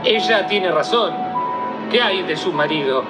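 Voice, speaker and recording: An actor playing a fussy, skeptical police inspector in a theatrical radio play. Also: male voice, high-pitched, lively intonation, measured pace, loud music behind the voice.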